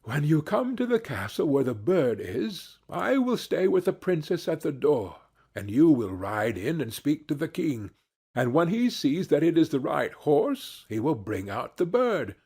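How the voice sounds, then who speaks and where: A man voicing a wise old fox who gives earnest, deliberate counsel in a storybook reading. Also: on the high side, big pitch swings, a little mellow, slightly rough, full character voice. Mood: instructing. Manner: instructing, deliberate.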